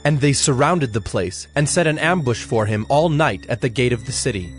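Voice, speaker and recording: A man narrating dramatized scripture in a measured storytelling manner. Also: medium pitch, expressive. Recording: dry studio with music underneath. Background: music.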